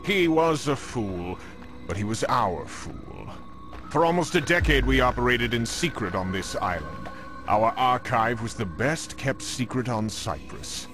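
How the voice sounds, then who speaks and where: Scornful Templar villain over clear music. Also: male voice, medium pitch, very animated, measured pace. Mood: scornful, smug. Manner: theatrical, condescending. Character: scornful, self-assured male Templar grandmaster villain. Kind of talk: scripted video game cutscene acting.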